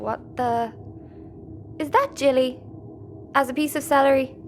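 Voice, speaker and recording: An actress playing a bemused, teasing companion in an audio comedy, wry and incredulous. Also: female voice, high voice, fairly even intonation, unhurried, a little hiss or hum. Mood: amused disbelief. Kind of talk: audio comedy drama acting.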